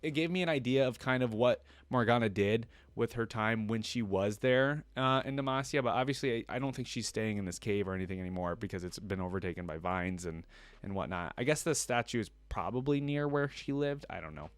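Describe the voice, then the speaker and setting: A man's voice: on the low side, brisk, dry recording. A man hosting a reaction video, reading aloud and commenting casually.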